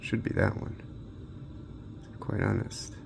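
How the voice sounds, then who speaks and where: A man's voice: a little slow, noisy background. A man giving casual, off-the-cuff commentary while testing games, muttering and thinking aloud, as a handheld gaming reviewer.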